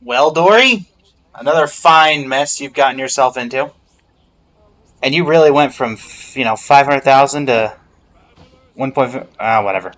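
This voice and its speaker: A man's voice: mid-range voice, normal speed, long pauses, fairly bright tone. A man giving casual, off-the-cuff reaction commentary as he plays through a game story. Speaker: gamer reacting to a story quest.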